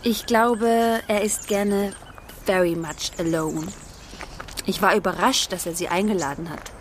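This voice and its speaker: A woman acting in a radio drama as a lively, outspoken young daughter, proud and teasing, who drops English phrases into her speech. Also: mid-range voice, measured pace, a little hiss or hum, lightly acted. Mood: candid, cautioning.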